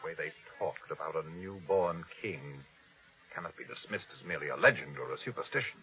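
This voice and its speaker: A man's voice: rumbling low, expressive, brisk, vocal fry, sharp tone, heavily acted. An actor playing a fearful, angry, scheming king with an imperious, commanding manner in a 1950s radio drama. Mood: anxious, scheming. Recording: dull old radio recording.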